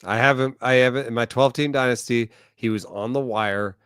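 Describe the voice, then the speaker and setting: Male podcast guest analyst, mid pitch, measured thinking-aloud delivery, dry close recording. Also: steady tone, fairly bright tone, clear, resonant tone, no echo. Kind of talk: casual baseball analysis chat.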